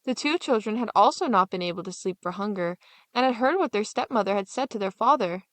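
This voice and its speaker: A woman's voice: medium pitch, animated, fairly quick, fairly bright tone, dry recording. A woman storyteller reading a scripted fairy tale as narration.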